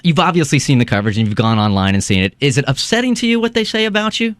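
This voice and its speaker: A male radio host talks quickly and warmly through his questions into a studio mic. His delivery is chatty and leading. The sound is close and dry. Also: mid-range voice, very animated, dry recording. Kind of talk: radio interview. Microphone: studio mic with headphones.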